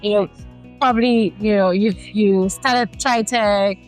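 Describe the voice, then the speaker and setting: A woman's voice: mid-range voice, steady tone, unhurried, faint music behind. Chatty woman host, filler-heavy questions. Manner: warm, rambling questions with many fillers. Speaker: interview host. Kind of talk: conversational interview.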